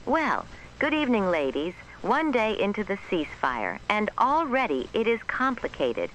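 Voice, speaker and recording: A woman's voice: mid-range voice, big pitch swings, moderate pace, fairly bright tone. A woman giving a scripted, plain-spoken, homely address as a 1930s radio commentator, through an old-time radio filter. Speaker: radio home-front commentator. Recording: noisy vintage radio sound.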